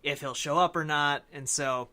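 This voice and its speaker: A man's voice: on the high side, fairly even intonation, normal speed, sharp tone. A man co-hosting a podcast, discussing film casually and thinking aloud as he explains. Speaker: podcast co-host.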